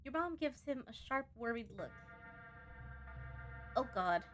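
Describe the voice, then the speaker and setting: A woman streaming a game live, chatty and expressive, reading the on-screen story aloud and tossing in playful asides. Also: a little high, unhurried, soft background music. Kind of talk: live let's-play commentary, reading game text aloud.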